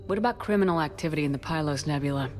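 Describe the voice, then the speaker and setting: Woman acting a female military commander, low pitch, resolute, brisk, commanding, video game, light music behind. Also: close to an everyday voice. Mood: focused, businesslike.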